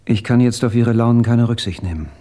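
An actor playing a nervous, impatient husband short of money in a German radio crime drama, agitated and demanding. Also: male voice, medium pitch, a little fast, dark tone, close to an everyday voice.

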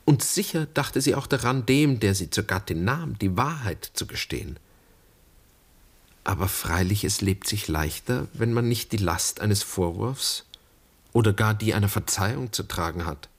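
A man's voice: fairly low pitch, expressive, normal speed, husky. An actor playing a grave young man in a radio drama, formal and probing in his speech. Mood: grave, reproachful. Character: grave young man questioning his mother's former lover. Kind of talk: 1960s German radio play acting.

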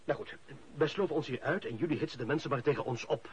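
A man's voice: mid-range voice, fast, a little hiss or hum, close to an everyday voice. An actor playing a self-important official and club chairman in a radio drama, pedantic and blustering. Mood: indignant. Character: self-important male food inspector and football club chairman. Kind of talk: Dutch-language radio drama acting. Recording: dull lo-fi radio play recording.

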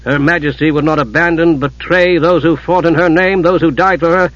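A man's voice: a little high, steady tone, brisk, fairly bright tone, no echo, voice pushed up, close to an everyday voice. A proud, troubled young knight of fantasy fiction, as a male narrator plays him. He speaks with solemn, honor-bound intensity. The recording is very dry and a little muffled at the top. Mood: defiant.

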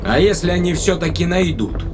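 A man's voice: high-pitched, animated, a little fast. A man playing a skeptical, wary space traveler in an audio drama, dryly sardonic and questioning, with music behind him. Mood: skeptical, insistent.

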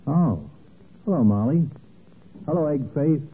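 An actor playing a droll, needling doctor with a dry, mock-dignified manner in a 1940s radio comedy. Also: male voice, a little high, animated, unhurried. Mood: dryly needling. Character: droll, needling male doctor and health editor. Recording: tinny, faintly noisy old radio broadcast.

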